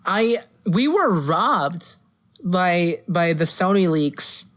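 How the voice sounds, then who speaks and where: A woman's voice: lowish voice, sing-song, extremely slow. A woman co-hosting a podcast, chatting casually about movies in a blunt way and swearing freely. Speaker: podcast co-host.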